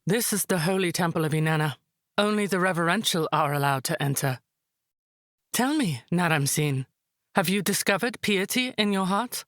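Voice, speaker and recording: Woman playing proud, commanding high priestess. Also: lively intonation, normal speed, no echo, studio quality, natural voice. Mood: coolly defiant. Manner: formal, commanding, composed. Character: proud, resolute high priestess, dignified aunt. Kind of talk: dramatic visual novel acting.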